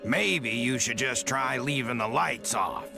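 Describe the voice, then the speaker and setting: A man voicing a cocky, lecherous alien invader in a video game, gravelly and sardonic. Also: medium pitch, animated, a little slow, over music. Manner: sardonic, swaggering, slangy. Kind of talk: video game cutscene acting.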